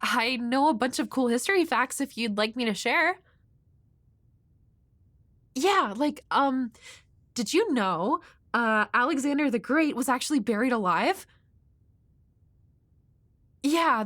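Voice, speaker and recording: A woman's voice: high voice, fairly bright tone, no echo, studio quality, heavily acted. A woman playing a shy, awkward, nerdy young woman next door in an audio roleplay, hesitant and rambling with a nervously apologetic manner. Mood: shyly eager. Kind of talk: intimate audio roleplay addressed to the listener.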